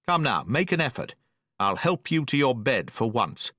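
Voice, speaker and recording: A man voicing a stern, educated middle-aged doctor who speaks in a brisk, severe and precise way. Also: mid-range voice, sing-song, bright, forceful tone, no echo, studio quality. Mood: scolding.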